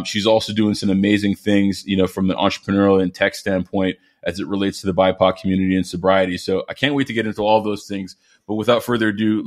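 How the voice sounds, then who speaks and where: A man hosting a podcast interview, upbeat and encouraging, with many "you know"s and ums. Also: lowish voice. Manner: upbeat, encouraging, filler-heavy. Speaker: podcast host.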